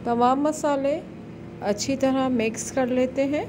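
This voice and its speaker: A woman's voice: sits high, a little slow. Woman narrating a recipe over noise. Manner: calm step-by-step instructions. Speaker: cooking channel host.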